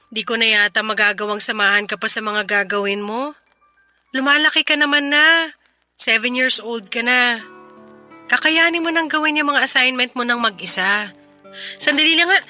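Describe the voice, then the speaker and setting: Worn out mom in radio drama, high sharp voice, naggy but caring, soft music behind. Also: female voice, normal speed, pauses often. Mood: weary, brisk. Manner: weary, scolding, emotive. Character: tired, overworked single mother, impatient but caring. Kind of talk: Tagalog radio drama acting.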